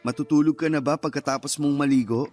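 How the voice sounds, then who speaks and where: A man's voice: fairly high pitch, fairly even intonation, fast talker, darkish tone, lightly acted. A man acting a patient, grieving husband and father in a Filipino radio drama, speaking in an earnest, consoling way. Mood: soft, hopeful. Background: background music.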